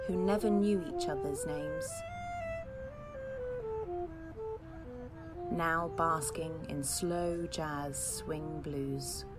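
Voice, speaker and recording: An actor playing a grieving woman who gently describes what she watches, in simple, hesitant phrases, as an audio drama monologue. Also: low voice. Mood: reflective, lyrical. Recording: music behind the voice.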